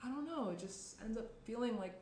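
A woman's voice: a little high, lively intonation, fairly quick, darkish tone, natural voice. A young woman playing a guarded, stressed woman in a screenplay table read, speaking hesitantly. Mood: uneasy. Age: young adult. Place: small indoor room around a table. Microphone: shared tabletop microphone. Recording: roomy tabletop pickup, faint noise.

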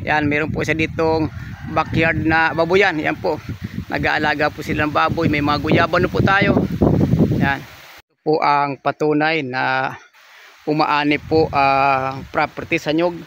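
A man's voice: on the high side, creaky, noisy background. Polite man touring a coconut farm. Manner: polite, repeats "yan po" and "oh" while pointing things out. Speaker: real estate agent giving a property tour. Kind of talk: walking property tour narration.

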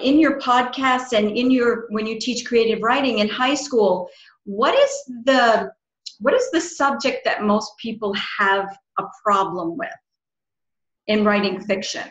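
Middle-aged female host, slightly high voice, informal and chatty, recorded with clear room echo. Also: expressive, a little slow, a bit bright. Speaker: interview host. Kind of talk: casual video interview. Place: small room at home, indoors. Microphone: computer mic on a video call. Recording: roomy, echoey home room.

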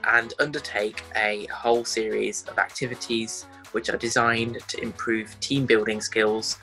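A man in his twenties from England, speaking carefully with pauses into a phone held close. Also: on the low side, level tone, sharp tone, soft background music, poor audio. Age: 20s. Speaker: interviewee. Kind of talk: self-recorded talk.

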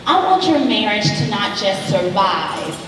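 A woman's voice: medium pitch, very animated, a little slow. An actress on stage playing an earnest, encouraging female counselor who speaks in an emphatic, preachy, reassuring way. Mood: earnest, testifying. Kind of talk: stage play acting. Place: indoor theater stage living-room set. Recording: echoey theater stage, distant, noisy.

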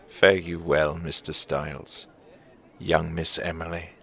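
A deep-voiced actor as a mysterious storyteller in an audio play. He speaks slowly and formally, with gentle, portentous wisdom. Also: little pitch movement, gravelly, full character voice. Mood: gentle, solemn. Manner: measured, portentous, old-fashioned formal phrasing. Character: gentle, wise, mysterious male storyteller of Christmas tales. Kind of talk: audio drama acting.

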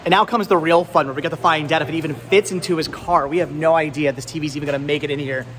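A man in his thirties hosting a casual vlog, chatting enthusiastically and rambling with halting, repeated words. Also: a little high, steady tone, fairly bright tone, faint music behind. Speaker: vlog host. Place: large indoor flea market and warehouse loading area. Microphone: handheld camera at arm's length.

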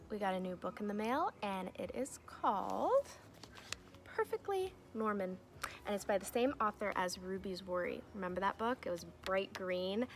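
A young woman reads a children's story in a medium-pitched voice. Her delivery is expressive, with emphatic swells on key words. The recording is made outdoors, with some ambient noise behind her. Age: young adult. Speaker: teacher reading aloud to pupils. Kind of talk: children's storybook read-aloud. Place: outdoors in a fenced backyard.

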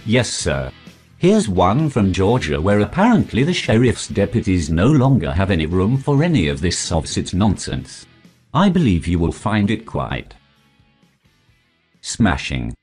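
A man's voice: low-pitched, very animated, a little slow, darkish tone, husky, faint music behind, voice pushed down, exaggerated voice. A man putting on a prim robot hat. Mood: dry, formal. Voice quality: polite butler-like, dry. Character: prim formal male robot hat sidekick.

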